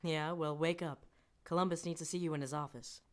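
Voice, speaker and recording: An actress playing a brisk, no-nonsense schoolteacher in a radio drama, speaking in a curt, matter-of-fact way. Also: female voice, low voice, steady tone, natural voice.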